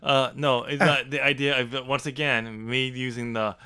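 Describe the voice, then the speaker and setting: A man hosting a podcast in casual discussion, halting and amused as he talks. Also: medium pitch, little pitch movement, bright, forceful tone, smooth. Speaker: podcast host and author.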